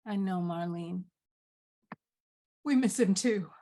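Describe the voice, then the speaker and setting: A woman's voice: medium pitch, takes it slowly, mellow. A woman playing a wistful, grieving, unhappily married daughter in a dramatic script read.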